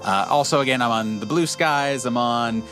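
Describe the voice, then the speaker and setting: A man at a middle pitch talks casually over a video call, rambling and leaning on filler words as he plugs his work. Faint guitar music sits behind him. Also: normal speed, a bit bright. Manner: rambling, lots of filler uhs. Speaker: game designer and podcast guest. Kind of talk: casual chat.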